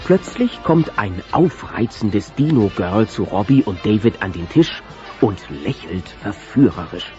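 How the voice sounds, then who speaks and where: A man's voice: fairly low pitch, very animated, a little slow. A man narrating a scripted children's audio play as storyteller. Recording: dull cassette transfer, music behind.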